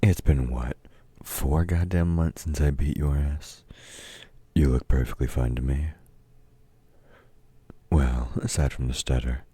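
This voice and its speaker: An actor playing a domineering, taunting villain in an intimate audio roleplay, gruff and commanding as he mocks a meek listener. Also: no echo, heavily acted. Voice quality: very deep, dark, husky voice. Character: domineering, taunting male villain, grudging temporary ally of heroes. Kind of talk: intimate audio roleplay, scripted dramatic acting.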